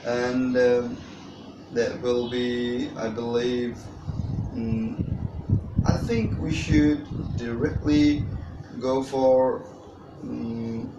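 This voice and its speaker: Adult storyteller, hesitant and wordy, casual delivery to a child, distant mic with clear noise. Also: mid-range voice, muffled recording. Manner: hesitant, wordy, frequent long pauses. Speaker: storyteller guest reading to a child. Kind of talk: casual unscripted introduction to storytelling. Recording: echoey room, distant mic, noisy.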